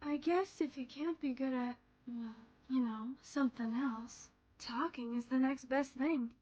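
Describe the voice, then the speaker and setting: Actress voicing a flirtatious game courtesan, high voice, sultry, coy, teasing, sly. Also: female voice, measured pace, darkish tone, highs cut off. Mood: teasing, gossipy. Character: flirtatious, sly tavern courtesan, adult woman. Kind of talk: scripted game character dialogue.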